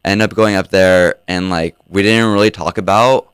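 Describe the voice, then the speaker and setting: A young man with a very low, smooth voice answers questions in a radio studio. He talks in a laid-back, meandering way, leaning on "like" again and again. The sound is close and dry. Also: fairly even intonation, moderate pace, dry recording. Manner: laid-back, many "like" fillers. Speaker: DJ and podcast host guest. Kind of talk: casual interview answers.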